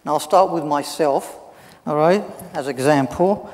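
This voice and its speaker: A man in his fifties moderating a panel in a church hall, relaxed and joking, passing around a handheld mic. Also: a little high, unhurried. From Australia. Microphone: shared handheld mic. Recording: echoey hall.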